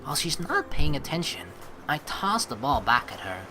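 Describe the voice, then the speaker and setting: A young man hosting a let's play, reading the story aloud and adding expressive, rambling commentary. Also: on the high side, normal speed, over music.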